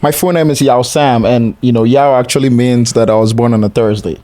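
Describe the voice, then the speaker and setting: A man's voice: mid-range voice, steady tone, a little fast, dark tone. Ghanaian man chatting casually. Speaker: podcast guest. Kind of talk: casual conversational interview.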